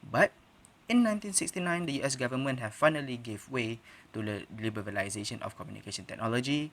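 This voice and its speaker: A man's voice: mid-range voice, fast talker, a bit bright. Young man delivering a formal debate speech. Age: young adult. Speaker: student debate speaker.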